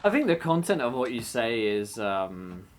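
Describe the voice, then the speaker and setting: A man, the game master, chatting casually and giving roleplay tips, with a relaxed, rambling delivery. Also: medium pitch, moderate pace. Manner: relaxed, rambling explanations with many fillers.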